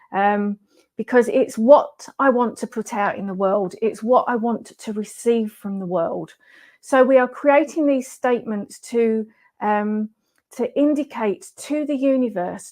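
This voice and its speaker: A woman's voice: mid-range voice, darkish tone. A middle-aged woman coaching in a chatty pre-recorded live video, nasal from a head cold and using lots of fillers. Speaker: wellness coach.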